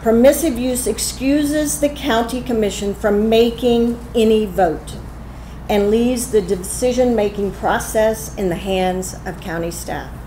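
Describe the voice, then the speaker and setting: A woman's voice: a little high, unhurried, dark tone. Woman resident, firm prepared remarks; echoey room, faint noise. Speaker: resident giving public comment. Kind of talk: reading a prepared statement. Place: county commission meeting room.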